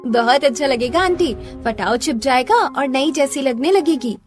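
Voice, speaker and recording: A woman's voice: sits high, animated, normal speed, soft background music. A woman voicing an eager, reassuring young seamstress daughter in a cartoon story. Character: helpful, confident young daughter, skilled seamstress.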